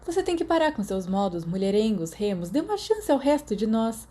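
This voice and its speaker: A woman's voice: fairly high pitch, big pitch swings, brisk, warm tone, pitched above the performer's own voice. A Brazilian woman voicing a jaunty teenage schoolboy in an audiobook, playfully mock-scolding his friends. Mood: teasing.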